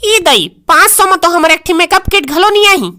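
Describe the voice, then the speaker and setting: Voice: very high voice, animated, moderate pace, bright, forceful tone, exaggerated voice. A performer with a Chhattisgarhi accent voicing a sassy, insistent young bridesmaid who haggles in a comic cartoon. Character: sassy young bridesmaid haggling stubbornly over shoe money.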